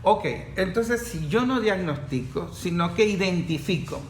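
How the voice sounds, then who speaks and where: A man hosts the conversation in a high voice, cutting in with questions and quick asides. The room rings around him, and a faint noise sits underneath. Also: animated, echoey room. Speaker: podcast host. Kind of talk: conversational interviewing.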